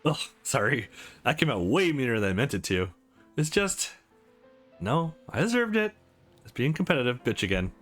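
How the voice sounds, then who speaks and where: A man's voice: medium pitch, big pitch swings, normal speed, fairly bright tone. A man voicing awkward, wry young women who are rivals in love in a visual novel. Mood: apologetic, teasing. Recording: music under the voice.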